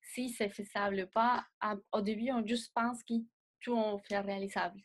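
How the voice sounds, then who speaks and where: A young woman, not a native speaker of the language, answering interview questions as a designer in a measured way and often pausing to find words. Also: mid-range voice, steady tone. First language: not French. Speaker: designer guest.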